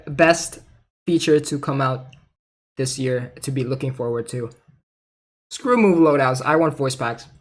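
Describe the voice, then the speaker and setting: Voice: mid-range voice, fairly bright tone. A game streamer chatting casually and reacting to voice clips in an amused, informal way. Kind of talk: casual livestream reaction chat.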